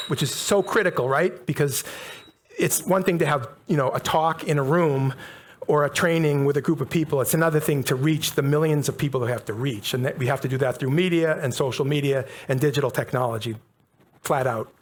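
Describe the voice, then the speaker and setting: Middle-aged North American man lecturing a live audience, fairly high voice, conversational, emphatic, leans on key words. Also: fairly quick. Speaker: speaker giving a public talk.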